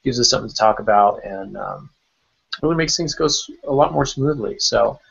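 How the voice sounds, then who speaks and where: Male podcast host, medium pitch, casual roundtable delivery, slightly muffled online-call sound. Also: lively intonation, fairly quick, creaky, breathy edge. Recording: dull lo-fi online call audio.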